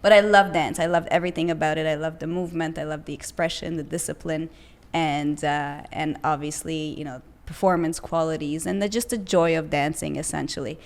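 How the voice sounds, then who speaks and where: Woman, lower-pitched, earnest and reflective, podcast guest. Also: fairly even intonation, fairly quick. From Hungary. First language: Hungarian. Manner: reflective, earnest, with frequent fillers and restarts. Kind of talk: casual interview chat.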